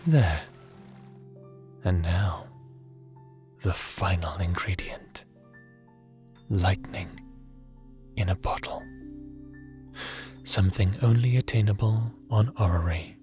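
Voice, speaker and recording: An actor in an audio drama playing a gentle, doting scientist, soft-spoken and tender as if talking to a small child. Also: male voice, lowish voice. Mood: absorbed, inviting. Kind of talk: scripted audio drama acting. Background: background music.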